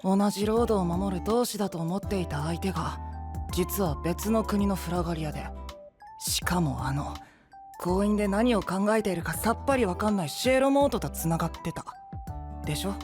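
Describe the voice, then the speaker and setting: An actor playing a cheeky, blunt boyish knight with a pouty, petulant manner in an anime-style audio drama. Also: male voice, sits high, normal speed, over music, lightly acted. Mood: blunt, disapproving.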